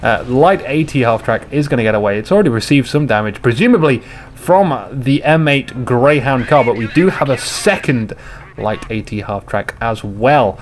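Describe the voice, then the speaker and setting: Jokey man, slightly hoarse. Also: medium pitch, animated, moderate pace, a little hiss or hum. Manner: rambling, jokey, chats to viewers. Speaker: game commentator. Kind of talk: casual replay commentary.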